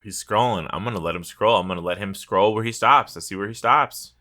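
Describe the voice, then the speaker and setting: A man's voice: lowish voice, a little fast, sharp tone. A man co-hosting a podcast in casual comedic banter, teasing and swearing freely. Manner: teasing, profane. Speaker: podcast co-host.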